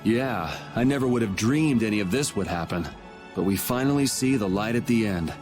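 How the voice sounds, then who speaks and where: A man's voice: fairly low pitch, lively intonation, normal speed, over music, close to an everyday voice. A man voicing a cool, casual, teasing young swordsman hero in a video game. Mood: pleased.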